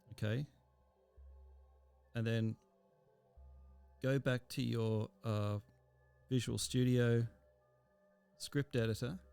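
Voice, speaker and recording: A man giving an informal software tutorial as an instructor, explaining step by step in a halting way with pauses mid-sentence. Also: mid-range voice, vocal fry.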